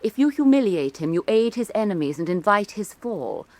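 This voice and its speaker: A woman's voice: mid-range voice, big pitch swings, normal speed, a little mellow, dry recording, lightly acted. Elegant, insinuating woman critic with swooping intonation, acted. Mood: smooth, persuasive. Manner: arch, mannered, lingering, condescending. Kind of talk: theatrical radio drama acting.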